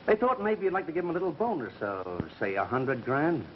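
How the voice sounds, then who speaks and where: Sly, menacing racketeer, lively inflection. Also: male voice, fairly high pitch, fairly quick. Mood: sly, insistent. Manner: insinuating, tough. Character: menacing, sly racketeer making veiled threats. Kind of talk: 1930s crime film acting. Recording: muffled 1930s film sound, faint hiss.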